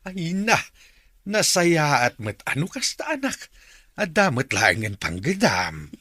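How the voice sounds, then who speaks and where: Approving father, northern Philippine accent, husky bright voice, radio drama. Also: a little high, very animated, slow pace, no echo, clean studio sound, exaggerated voice. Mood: pleased. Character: approving middle-aged father.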